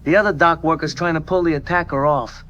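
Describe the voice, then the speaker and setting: An actor playing a shaken coast guard captain who gives a hesitant, halting statement. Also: male voice, a little high, steady tone, a bit bright, muffled recording, a little hiss or hum, natural voice. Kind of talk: audio drama testimony.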